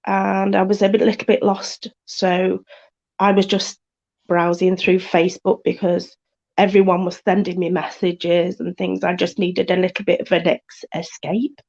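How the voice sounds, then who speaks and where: British female caller, casual and halting, some vocal fry, muffled lo-fi audio. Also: fairly low pitch, darkish tone. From United Kingdom. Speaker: caller to a live show. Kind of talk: casual chat. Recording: dull, lo-fi call audio.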